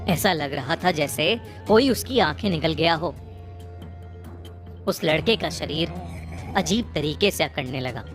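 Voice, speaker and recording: A woman narrating a scripted horror story in a measured, suspenseful way, phrase by phrase. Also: low-pitched, expressive. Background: prominent music bed.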